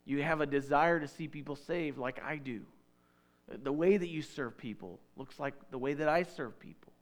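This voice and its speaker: A man in his thirties with a Northern Illinois accent, preaching a sermon in a relaxed, conversational way with folksy asides. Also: fairly high pitch, normal speed. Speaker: pastor.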